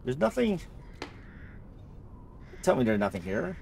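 Creaky-voiced man, animated gamer. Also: medium pitch, unhurried, slight background noise. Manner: rambling, jokey, repeats himself. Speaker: gamer narrating a playthrough. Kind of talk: casual gameplay commentary.

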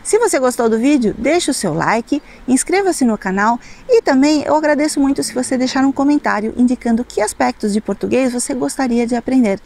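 Lively high-voiced woman teaching. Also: creaky. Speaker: language teacher and channel host. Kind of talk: instructional talk to camera for beginners. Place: outdoors on a coast by the sea.

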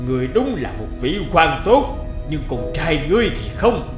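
A supernatural judge of the dead for an animated tale. He is stern and imposing, handing down accusations with full authority. Faint music plays behind him. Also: a little high, normal speed, echoey room. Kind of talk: animated cartoon dialogue.

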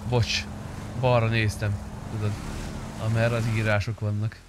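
A man's voice: on the low side, flat delivery. A young adult man chatting casually and swearing freely as he streams a roleplay game. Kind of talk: casual roleplay gaming chat. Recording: clear car engine noise behind.

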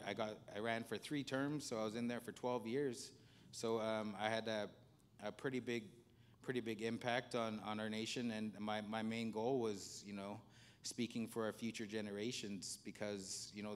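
A man from Canada speaking on a conference panel in a large hall, earnest and halting, often repeating words. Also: medium pitch, clean tone. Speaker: panelist, economic development officer.

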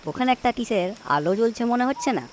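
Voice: medium pitch, expressive, quick pace, slight background noise, lightly acted. An actor voicing a wary village woodcutter in a spooky cartoon, speaking in exclamations and chiding his friend. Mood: wary, puzzled. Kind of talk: cartoon character acting.

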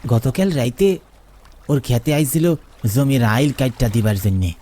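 A man's voice: medium pitch, animated, unhurried, warm tone. A man playing a fearful, deferential village man in a horror cartoon, speaking a rural eastern Bengali dialect urgently. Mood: fearful, ominous.

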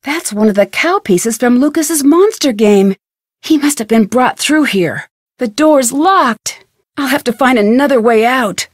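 A woman playing a curious, determined young detective in a video game, thinking aloud in crisp speech with an American accent. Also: high voice, lively intonation, measured pace, no echo, studio quality. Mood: alarmed, urgent.

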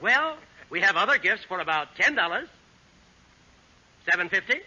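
A man's voice: high-pitched, sing-song, normal speed, pushed and bright, breathy edge, highs cut off, full character voice. A man playing an eager, polite department store clerk in a 1940s radio comedy, recorded with the muffle of vintage radio. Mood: helpful.

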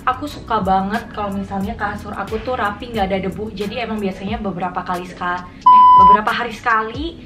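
A young adult woman, chatting casually like a vlogger and mixing in bits of English. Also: mid-range voice. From Singkawang, Indonesia. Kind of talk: casual vlog chat mixing Indonesian and some English. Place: apartment bedroom, indoors. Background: background music.

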